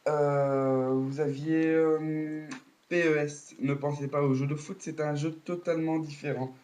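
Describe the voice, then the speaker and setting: A man's voice: on the high side, little pitch movement, unhurried, darkish tone. Guy with a cold rambling a casual recap, lots of ums and restarts. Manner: hesitant, many fillers and restarts. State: has a cold, coughing. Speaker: video blogger.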